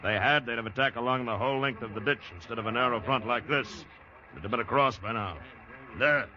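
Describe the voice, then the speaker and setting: An actor playing a confident, stubborn plantation boss in an old-time radio drama, with a forceful, cocksure manner. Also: male voice, mid-range voice, a little fast, natural voice. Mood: smug. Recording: dull, noisy old radio broadcast.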